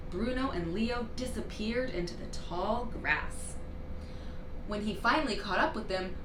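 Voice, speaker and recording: Female storybook reader, mid-pitched, unhurried, expressive emphasis for young listeners; off-mic, noisy room sound. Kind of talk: expressive children's read-aloud narration. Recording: roomy, distant mic, clear background noise.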